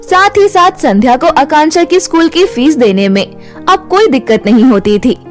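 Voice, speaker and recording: High-pitched woman storyteller. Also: expressive, moderate pace, soft background music. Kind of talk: scripted children's story narration. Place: studio voice-over.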